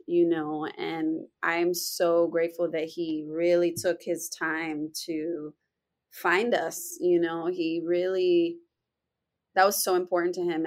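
A young woman with a Puerto Rican accent, an actress speaking earnestly in a casual interview, filling her talk with "you know.". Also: fairly low pitch. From Puerto Rico heritage. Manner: earnest, animated, many "you know" fillers.

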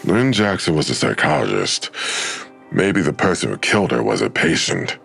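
An actor playing a terse, brooding police detective who questions everything in an audio drama, with a gravelly voice. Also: male voice, rumbling low, very animated, moderate pace, fairly bright tone, soft background music, exaggerated voice. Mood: skeptical.

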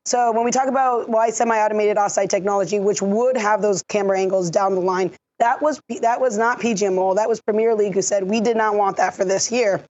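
A woman who has lost her voice, explaining refereeing decisions in a measured, technical way on a podcast. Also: medium pitch, fairly even intonation, a little mellow, muffled recording. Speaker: refereeing analyst. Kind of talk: podcast discussion.

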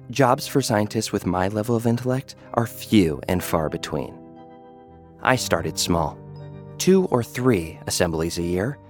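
A man narrating a scripted audio drama in first person as an arrogant scientist, condescending and dryly sarcastic. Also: fairly low pitch. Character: arrogant male scientist who builds people. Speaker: narrator and lead character.

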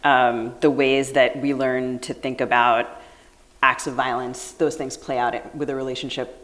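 A woman's voice: rumbling low, little pitch movement, measured pace. A woman co-hosting a live podcast taping, reading a formal guest introduction and asking careful questions. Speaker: podcast co-host. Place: stage at a live book festival event. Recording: hall reverb at a live event.

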